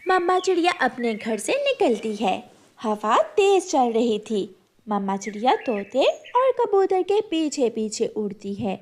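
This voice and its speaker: A woman's voice: on the high side, sing-song, unhurried. A woman narrating a scripted children's story.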